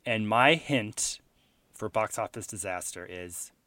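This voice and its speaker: A man's voice: on the low side, slow, pushed and bright, no echo. A man co-hosting a podcast in casual chat, chatty with frequent restarts. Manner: chatty, laughing, trailing restarts. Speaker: podcast co-host.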